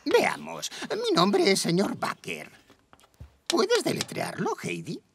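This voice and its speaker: An actor playing a fussy, formal, easily flustered elderly tutor in a Castilian Spanish cartoon dub. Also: male voice, high voice, wildly swooping pitch, slow, vocal fry, background noise, heavily acted. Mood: stern, formal. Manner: formal, theatrical, exclamatory. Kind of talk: animated series dub acting.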